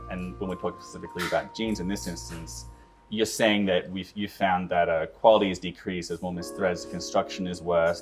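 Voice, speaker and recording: A man's voice: deep voice, a little mellow. A man interviewing someone conversationally, asking long, articulate questions in carefully built phrases.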